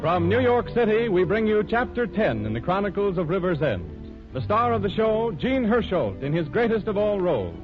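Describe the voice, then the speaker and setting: A man's voice: high-pitched, sing-song, moderate pace. A man announcing a 1930s radio show and reading its sponsor copy with formal, polished salesmanship. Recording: muffled 1930s radio broadcast with music.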